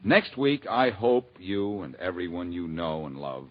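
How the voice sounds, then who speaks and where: A teasing detective in an old radio show, deep lively voice. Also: male voice, a little slow, heavily acted. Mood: genial. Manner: wry, joking, teasing. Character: wisecracking reformed thief turned detective, man. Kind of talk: 1940s radio acting. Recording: muffled old radio broadcast.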